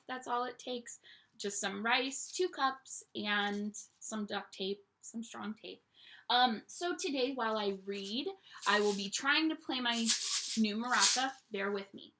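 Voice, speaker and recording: Young teacher lady reading a kids book at home, bright voice, takes her time. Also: on the high side, a little slow. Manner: animated storytime voice, asks children to join in. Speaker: primary school teacher. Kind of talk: reading a picture book aloud to children.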